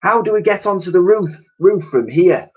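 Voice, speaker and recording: A man voicing a bold, curious young girl in a children's storybook, excitable and urgent. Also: high voice, moderate pace, pitched above the performer's own voice. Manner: excitable, calling out and questioning. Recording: dull lo-fi home recording.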